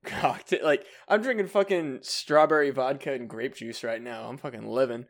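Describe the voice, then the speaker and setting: A man's voice: medium pitch, moderate pace. A young man co-hosting a comedy podcast, rambling and swearing as he chats and laughs often. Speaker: podcast co-host. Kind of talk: casual comedic chat.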